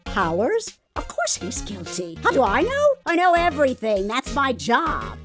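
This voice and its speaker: A woman's voice: sits high, huge pitch swings, measured pace, a bit bright, heavily acted. A woman playing a brash, nagging older security guard with scolding, gossipy, folksy talk in a video game fan dub. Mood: bossy, certain. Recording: music behind the voice. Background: music.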